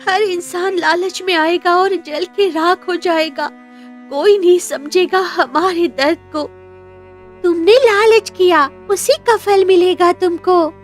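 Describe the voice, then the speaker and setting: A woman voicing a cursed golden statue, sorrowful and ominous, wailing in an animated moral story. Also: very high voice, takes it slowly, faint music behind, voice pushed up. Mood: bitter warning.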